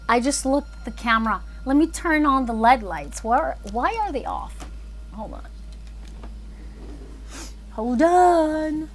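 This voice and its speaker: A woman's voice: fairly high pitch, slight background noise. A woman chatting casually and thinking aloud as she hosts an animation livestream. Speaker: animator and filmmaker streaming live.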